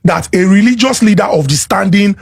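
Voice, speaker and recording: A Nigerian man from Lagos on a talk panel, explaining his point deliberately and step by step. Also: high-pitched, gravelly, slightly rough. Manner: deliberate, explanatory, repeats to hold the floor. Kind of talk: measured debate.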